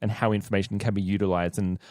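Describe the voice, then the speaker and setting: Low-voiced Australian man chatting, close and dry. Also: level tone, fast talker, darkish tone, no echo. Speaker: actor and player on a tabletop role-playing podcast. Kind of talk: casual chat.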